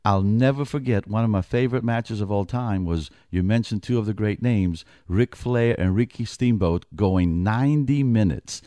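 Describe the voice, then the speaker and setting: A man's voice: on the low side, moderate pace, hardly any pauses, mellow, no echo. A middle-aged man from Greece, a wrestling commissioner, talking as a guest in a radio studio interview, earnest and prone to restarting his sentences.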